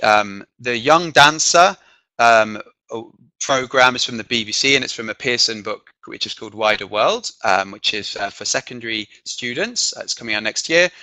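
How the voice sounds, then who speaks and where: A man from the south of England, with an accent mixing southern and northern English, presenting a teaching webinar. He checks in with "okay?" often and fills pauses with um. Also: medium pitch, pushed and bright, no echo, rough recording. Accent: mixed southern and northern English. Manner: frequent "okay?" check-ins and filler ums. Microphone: computer microphone on a video call.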